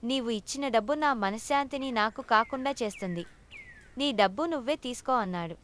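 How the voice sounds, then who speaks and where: A woman's voice: on the high side, fairly quick, a bit bright. A woman reading a scripted moral story as a storyteller. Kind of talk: scripted narration of moral stories.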